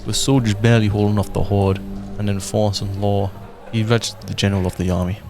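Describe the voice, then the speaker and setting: A young man narrating a radio drama in a measured storytelling way. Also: on the low side, level tone. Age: young adult. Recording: narration over loud music.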